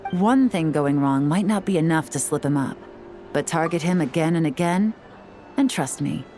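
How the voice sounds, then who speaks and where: An actress playing a cool, shrewd and composed operative in a video game, speaking with calm assurance. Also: female voice, low-pitched, moderate pace, faint music behind, natural voice. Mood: cool, calculating. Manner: calm, assured, smooth-talking.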